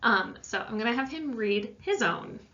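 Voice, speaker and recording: A woman's voice: on the high side, lively intonation, normal speed, crowd noise. Woman teacher on a distant, muffled mic. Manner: informal, loosely worded explaining. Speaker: science teacher. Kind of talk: informal lesson voice-over explaining an assignment.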